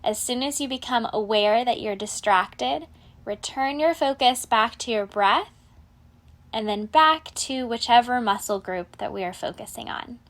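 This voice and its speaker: Slow, higher-pitched woman guiding relaxation. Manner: calm, measured, soothing instruction with soft phrase endings. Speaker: guided meditation instructor. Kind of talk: guided relaxation narration.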